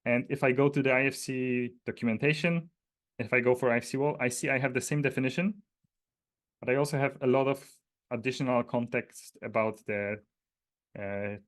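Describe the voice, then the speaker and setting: A man from Poland with a Polish accent, giving an informal technical walkthrough in a hesitant, explanatory way. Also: fairly low pitch. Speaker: presenter demonstrating a data dictionary.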